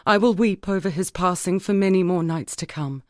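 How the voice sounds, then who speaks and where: A woman playing an earnest, formal woman scholar in a dark fantasy video game, speaking in a measured way. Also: lowish voice, dry recording, clean studio sound, close to an everyday voice. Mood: grieving, grateful. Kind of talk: scripted dramatic game dialogue.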